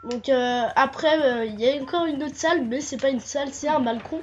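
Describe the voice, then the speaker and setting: A woman's voice: high-pitched, fairly quick, a little mellow, lo-fi sound, slight background noise. A woman with a stuffy, nasal voice from a cold, commenting a game walkthrough hesitantly, with many fillers and restarts. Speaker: gameplay commentator.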